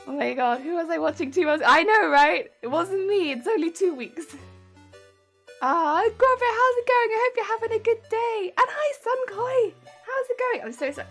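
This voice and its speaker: A woman streamer chatting casually while she crafts, with a weak, warbly voice that is a little congested from hay fever, chatty and giggly as she greets her viewers. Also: very high-pitched. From United Kingdom. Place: home craft room.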